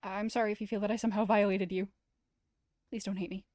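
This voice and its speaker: A woman's voice: mid-range voice, little pitch movement, fast talker, a little mellow, no room sound, clean studio sound, lightly acted. A woman voicing an earnest, awkward young man in a visual novel, apologetic and rambling. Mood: flustered, pleading.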